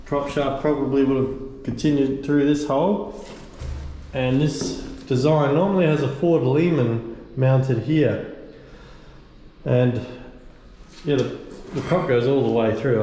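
A man's voice: medium pitch, darkish tone. Man vlogging in an echoey metal space. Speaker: boat restorer and vlogger. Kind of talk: casual walk-through commentary on repair work. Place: inside a bare steel boat hull under restoration. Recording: echoey metal room, background noise.

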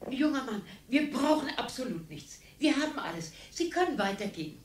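A sarcastic retiree's wife. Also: female voice, high-pitched, sing-song, a little fast, a little hiss or hum. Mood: dismissive. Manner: sarcastic and dismissive. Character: sharp-tongued older wife of a bored retiree. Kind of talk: radio play acting.

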